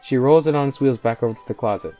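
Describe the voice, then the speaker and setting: A man's voice: mid-range voice, quick pace, dark tone. A man reading visual novel narration aloud as host of a gameplay video. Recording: voice over faint game music. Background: faint music.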